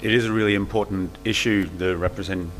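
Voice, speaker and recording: A man's voice: on the low side, steady tone, fast, fairly bright tone, slight background noise, poor audio. A man speaking as a panelist at a conference, thoughtful and hedging as he works through his points. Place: conference hall.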